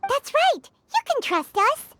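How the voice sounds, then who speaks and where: An actress playing a tiny, childlike, excitable fairy companion in a video game, with a squeaky way of talking. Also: female voice, unhurried, slight background noise, exaggerated voice. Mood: eager. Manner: squeaky, speaks of herself in third person. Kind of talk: English video game voice acting. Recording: music behind the voice. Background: tinkling game music.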